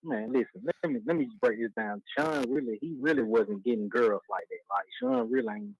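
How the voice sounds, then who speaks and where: A man in his twenties from Cleveland, Ohio, calling into a casual chat show with a gravelly, older-sounding voice, rambling and repeating "like I said" and "you feel me.". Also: mid-range voice, big pitch swings, fairly quick, no room sound, dull audio. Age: 20s. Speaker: caller.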